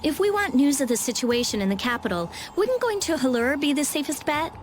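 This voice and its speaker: A woman's voice: high voice, very animated, a little fast, slight background noise. A woman voicing a brusque, prickly teenage girl scholar who hides her concern, in a video game. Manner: brusque, scolding.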